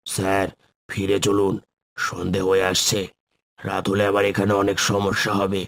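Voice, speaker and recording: A man's voice: sits low, monotone, moderate pace, gravelly, fairly bright tone, no room sound. A man voicing a polite, cautious local host advising young guests in a cartoon story. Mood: cautioning.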